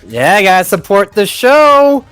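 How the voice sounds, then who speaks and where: A man's voice: sits high, big pitch swings, unhurried, a bit bright, clear, resonant tone, faint music behind. A man co-hosting a casual movie podcast, talking in a hesitant, rambling way and stumbling over words. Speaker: podcast co-host.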